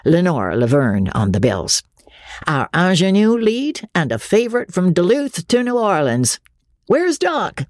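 Woman voicing a boastful, cheerful riverboat showboat captain; lively and bragging, storybook reading. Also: medium pitch, dry recording, studio quality, pitched above the performer's own voice. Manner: hearty, boastful introductions. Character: jovial middle-aged male riverboat showboat captain.

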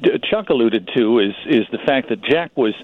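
Male radio guest with a mid-pitched voice, explaining conversationally over a thin, narrow line. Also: expressive, normal speed, dry recording. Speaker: guest, magazine publisher and broadcaster. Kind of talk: conversational commentary.

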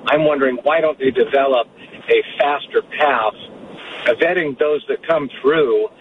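A man calling into a radio show, halting and restarting as he tries to make his point. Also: mid-range voice. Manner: halting, restarts often when pressed. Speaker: caller. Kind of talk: call-in radio conversation. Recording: noisy phone line.

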